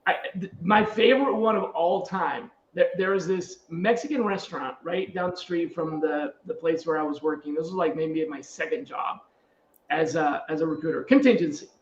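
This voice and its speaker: Middle aged guy, pretty high voice, casual podcast chat, roomy and a bit dull sounding. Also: normal speed, slight background noise. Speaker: podcast host, recruiter. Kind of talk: casual conversational anecdotes.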